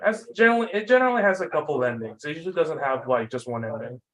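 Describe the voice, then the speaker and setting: A man's voice: fairly high pitch, expressive, very fast, fairly bright tone. Young adult male student presenter, informal and self-deprecating, tired from lack of sleep, rambling through a lecture. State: sleep-deprived.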